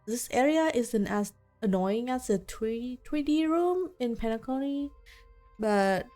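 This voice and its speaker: A woman gives casual live commentary on a game she is playing. Her voice sits fairly high and she takes her time, musing aloud. Faint music plays behind her. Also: expressive, a little slow, dark tone. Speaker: game streamer.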